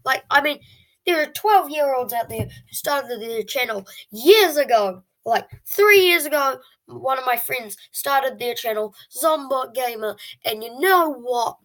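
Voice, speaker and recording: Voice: high-pitched, animated, a little slow, a bit bright, low-quality recording. An eleven-year-old child hosting a video channel, telling stories casually to viewers in a dramatic, whiny way. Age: child, eleven years old.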